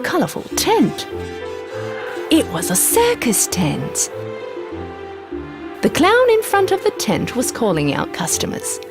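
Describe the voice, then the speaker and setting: Casting: female children's story narrator, hugely expressive pitch, medium register, music bed.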